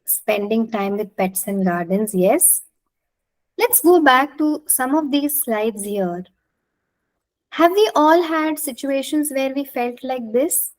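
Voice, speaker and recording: A woman's voice: high-pitched, expressive, takes it slowly, low-quality recording. An Indian woman from Maharashtra, a native Marathi speaker, giving a conversational, encouraging online talk and inviting participants to respond. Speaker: speaker leading an interactive talk, clinical psychologist. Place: online video call.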